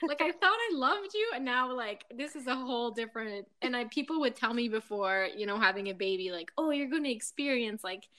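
A woman's voice: a little high, expressive, fairly quick, hardly any pauses, sharp tone. A woman from Quebec City whose first language is French, chatting as a podcast guest in an upbeat way and laughing between sentences. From Quebec City, Canada. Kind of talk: casual chat.

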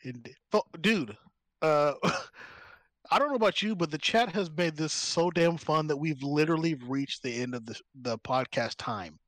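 Lively, playful podcast co-host. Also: male voice, fairly high pitch, moderate pace, dry recording. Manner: laughs easily, playful and ranting. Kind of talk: casual comedic podcast chat.

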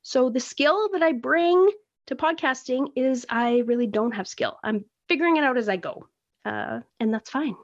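Canadian woman, solo podcast host, chatty and self-mocking, slightly high voice, somewhat dull recording. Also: normal speed. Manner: rambling, self-deprecating, chatty. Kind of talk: casual solo podcast monologue. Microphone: phone recording.

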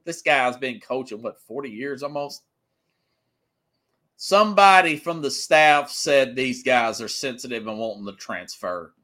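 A man's voice: medium pitch, expressive, measured pace, fairly bright tone. A man in his forties hosting a sports talk show, giving casual, emphatic opinions and telling anecdotes in a conversational way. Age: 40s.

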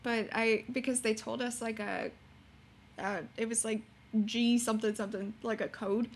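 Young woman with a high, youthful voice. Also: steady tone, moderate pace. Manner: bubbly, giggly. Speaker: podcast guest, streamer and beginning voice actor. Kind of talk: casual interview chat.

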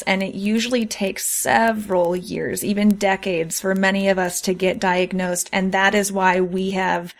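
Woman hosting a conversational podcast interview. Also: mid-range voice. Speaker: podcast host.

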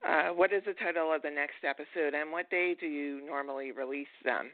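A woman's voice: deep voice, fairly quick, no echo. A woman hosting a podcast interview, asking friendly questions with hesitant ums. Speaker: podcast host. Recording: dry, tinny phone-like line.

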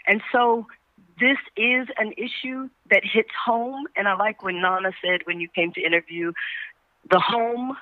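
A woman speaking over the phone as an interview guest, earnest, deliberate and emphatic. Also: mid-range voice, dry recording. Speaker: stage actress, interview guest. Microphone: telephone. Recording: dull, dry phone-in line.

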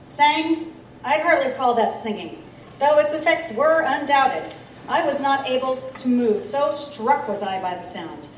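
A woman's voice: high-pitched, expressive, unhurried, a little hiss or hum. A woman playing a shaken but questioning Victorian reporter in an audio drama. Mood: shaken, indignant. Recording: echoey, distant, faint background ambience.

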